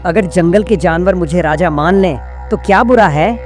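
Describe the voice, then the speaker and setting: A man voicing a cunning, smooth-talking wolf in a cartoon moral story, persuasive and falsely humble. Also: high voice, moderate pace, darkish tone, soft background music, natural voice.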